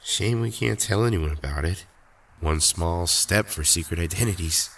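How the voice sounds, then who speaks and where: An actor playing a cool, wry young superhero in an audio drama, talking in a laconic, casual way. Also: male voice, sits low, normal speed, gravelly, fairly bright tone, exaggerated voice. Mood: matter-of-fact. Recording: faint background noise.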